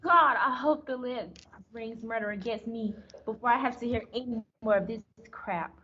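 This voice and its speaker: A high, forceful female voice with a rasp, playing an exasperated radio actress as a young woman acts her. The delivery is sardonic comic radio drama, on a dull recording. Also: moderate pace. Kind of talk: comic radio play acting.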